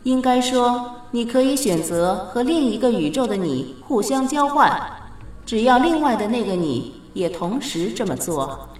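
A woman playing a calm, patient goddess of fate in a radio drama, explaining in a measured, gently authoritative way. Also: a little high, recorded from a distance, soft background music. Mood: explanatory. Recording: very echoey reverberant studio effect.

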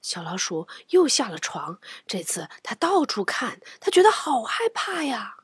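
A woman's voice: on the high side, big pitch swings, unhurried, husky, no room sound, clean studio sound. A woman narrating a picture book for children, playful and dramatic, often dropping into a whisper. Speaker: children's storyteller.

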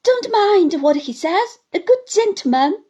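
A woman's voice: very high voice, very animated, a little slow, mellow, dull audio, pitched above the performer's own voice, exaggerated voice. Pleading, anxious mother in a storybook reading, as a woman narrator puts her on.

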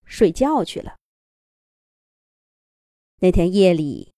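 A woman's voice: medium pitch, darkish tone, no room sound, clean studio sound. A woman narrating a children's fairy tale expressively from a script, as a storyteller.

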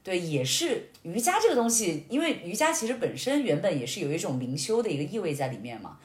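A woman's voice: on the low side, big pitch swings, quick pace. A woman talking expansively and reflectively as an interview guest, illustrating her points with anecdotes. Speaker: podcast guest. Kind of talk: reflective conversational interview talk. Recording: roomy, echoey sound.

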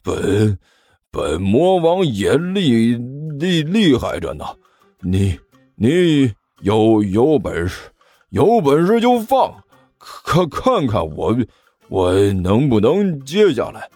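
A man's voice: mid-range voice, very animated, no echo, faint music behind, full character voice. A man voicing a self-styled great demon king in a comic story, blustering and indignant, stammering and dragging out his words. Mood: boastful, defiant. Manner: heavy stammer, drawn-out shouted words.

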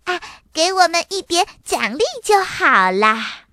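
A woman voicing a cheeky, tattling little girl in a children's storybook, with a teasing, drawn-out delivery. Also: extremely high pitch, sing-song, bright, forceful tone, dry recording, studio quality, voice pushed up, heavily acted. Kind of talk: children's storybook character acting.

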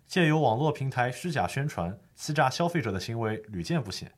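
A man's voice: medium pitch, big pitch swings, fairly quick. A man playing an earnest lawyer who formally explains the law in a scripted educational radio drama. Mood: friendly introduction. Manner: formal, didactic. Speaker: legal expert host.

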